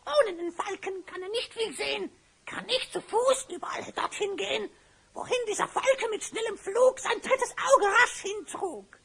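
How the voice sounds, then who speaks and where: A woman's voice: extremely high pitch, a little slow, gravelly, a bit bright, full character voice. A woman voicing a sly, gleeful hyena spy who schemes theatrically in rhyme for a fantasy audio play. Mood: scheming. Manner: theatrical scheming, frequent cackling, rhyming speech.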